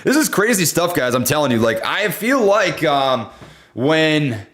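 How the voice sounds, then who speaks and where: A young man hosting a casual livestream, talking loudly and excitably while reading chat. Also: fairly high pitch, a little slow. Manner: loud, excitable, says he always yells. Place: home room.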